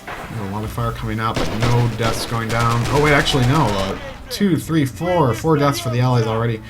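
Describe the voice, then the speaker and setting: A man giving live play-by-play commentary on an online shooter match, getting excited at kills and stumbling over player names. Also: mid-range voice. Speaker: esports shoutcaster. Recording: loud game music and gunfire behind.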